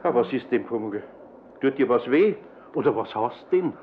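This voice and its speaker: An actor playing a good-natured, gently grumbling older man with a Bavarian accent in a German children's radio play. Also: mid-range voice, expressive, moderate pace, close to an everyday voice. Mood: soothing. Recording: muffled, noisy old radio play recording.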